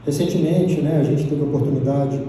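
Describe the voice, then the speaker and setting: A man's voice: mid-range voice, a little fast, warm tone, a little hiss or hum. A Brazilian man giving a talk to an audience, chatty, often ending phrases with tag questions. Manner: conversational, frequent tag questions. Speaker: guest speaker. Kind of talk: lecture with audience Q&A. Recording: distant mic in reverberant hall.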